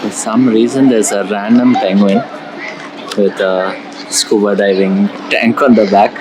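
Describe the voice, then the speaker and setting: A man's voice: lowish voice, fairly even intonation, measured pace, a crowd in the background, background noise. A man giving casual, explanatory travel commentary as a vlogger outdoors. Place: outdoors on city streets and waterfront.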